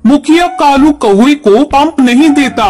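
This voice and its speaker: A woman's voice: high voice, a little slow, dark tone, faint music behind. A woman reading scripted narration for a children's cartoon story.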